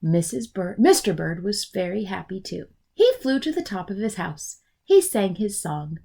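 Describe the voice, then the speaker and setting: A woman teacher reading a children's storybook aloud in an expressive, explanatory way for young listeners. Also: medium pitch, moderate pace, vocal fry.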